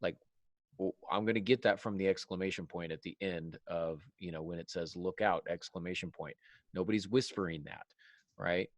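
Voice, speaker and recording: A man's voice: low-pitched, normal speed, no room sound. A man talking conversationally as a podcast host about his craft, emphatic and wry, often stopping to correct himself. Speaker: podcast host, writer and writing teacher.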